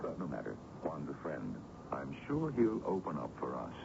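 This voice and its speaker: An actor playing a middle-aged, domineering American colonel with a smooth, bossy, menacing air in an old-time radio drama. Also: male voice, a bass voice, sing-song, normal speed, full character voice. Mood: expansive, bossy. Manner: overbearing, commanding. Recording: dull, very noisy old radio recording.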